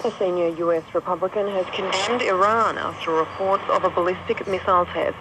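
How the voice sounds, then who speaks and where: A woman's voice: on the low side, brisk. A woman with an Australian accent reading a scripted radio news bulletin as a news reader. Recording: noisy shortwave radio recorded outdoors. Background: radio static and outdoor ambience.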